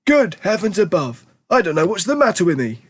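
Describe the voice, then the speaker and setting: A man voicing an eager, excitable grandfather in his nineties, exclaiming with theatrical emphasis. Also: sits high, a little fast, breathy edge, pitched above the performer's own voice, exaggerated voice. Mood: excited. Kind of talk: audiobook character reading.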